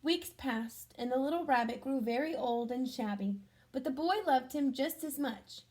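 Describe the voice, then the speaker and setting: A woman reading a storybook aloud to children, adding gentle chatty comments and questions for young listeners. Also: sits high, moderate pace. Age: adult.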